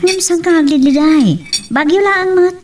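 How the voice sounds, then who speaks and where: A woman's voice: extremely high pitch, normal speed, recorded from a distance, background noise. An actress playing a dutiful young woman in love in an Ilocano romance drama. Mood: gentle, reassuring. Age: young adult. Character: young woman, recent college graduate, dutiful daughter in love. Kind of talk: Ilocano radio-style romance drama acting.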